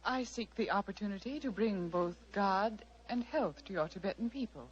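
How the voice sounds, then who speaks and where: A woman playing an earnest, polite young lady missionary with a husky voice in an old radio drama. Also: medium pitch, expressive, moderate pace. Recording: muffled vintage radio.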